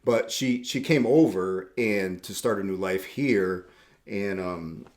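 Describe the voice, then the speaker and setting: Middle-aged male guest, low-pitched, relaxed rambling storyteller who circles back on his words. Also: slow. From Puerto Rico. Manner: easygoing, rambling, repeats words, laughs often. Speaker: tattoo shop owner, guest. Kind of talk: casual interview chat.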